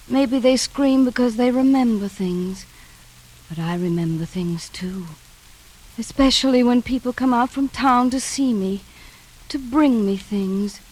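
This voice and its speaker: A woman's voice: medium pitch, a little mellow, slight background noise, lightly acted, poor audio. An actress in a 1940s radio drama playing a haunted, wistful woman, dreamy and hesitant as she confides her memories. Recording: vintage radio recording with music behind.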